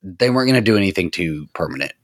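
Animated, low-pitched man bantering on a podcast. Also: racing, no room sound, studio quality. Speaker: podcast host.

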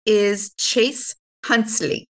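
Role-play game part: careful female researcher acted by a woman, mid-pitched, unhurried, halting and earnest. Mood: earnest, hesitant. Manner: hesitant, halting. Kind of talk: improvised tabletop role-play dialogue.

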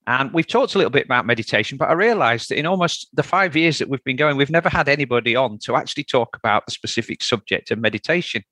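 A man's voice: medium pitch, expressive, quick pace, hardly any pauses, bright, forceful tone, no room sound. Yorkshire man hosting a podcast. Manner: friendly, chatty, with fillers. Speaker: podcast host. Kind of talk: podcast interview.

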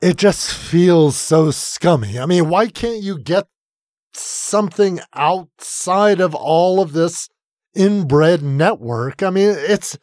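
A man's voice: high voice, slow pace, a little mellow, no echo, clean studio sound. A man co-hosting a podcast in a casual political chat, exasperated, swearing freely and often trailing off before starting again. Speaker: podcast co-host.

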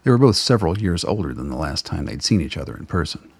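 Deep gravelly male narrator. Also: very animated, fast, dry recording. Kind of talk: scripted radio drama narration.

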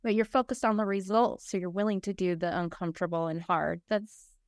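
A woman's voice: mid-range voice, fairly quick, no echo, studio quality. A woman hosting a podcast interview over a video call. She is appreciative and talks casually, with fillers and restarts. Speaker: podcast host.

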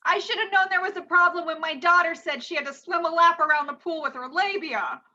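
Female improv comedy performer, high and forceful voice, slightly dull audio. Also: a little fast.

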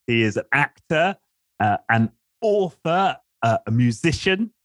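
A male podcast host asks questions hesitantly, stumbling through fillers and restarts. His voice sits at a middle pitch and sounds dry and close, with little room sound. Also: very animated, a little slow, fairly bright tone, no room sound. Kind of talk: interview hosting.

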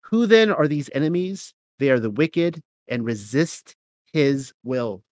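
A man's voice: medium pitch, animated, measured pace, a little mellow, no echo, clean studio sound. A man with a cold and a slightly nasal voice, reading scripture aloud and then commenting casually with fillers. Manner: reads verses steadily, then comments loosely with fillers. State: has a cold, sounds nasal. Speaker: host of a scripture reading show.

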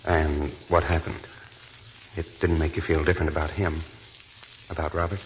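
An actor playing a courteous, sympathetic military lieutenant in 1940s radio drama style. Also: male voice, extremely low pitch, little pitch movement, normal speed, low-quality recording. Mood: attentive. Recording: vintage radio broadcast, faint hiss.

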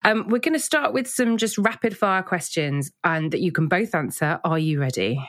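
A woman's voice: fairly low pitch, lively intonation, moderate pace, fairly bright tone. A woman hosting a podcast, reading a scripted introduction and then interviewing students in an upbeat, encouraging way. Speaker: podcast host, director of student life.

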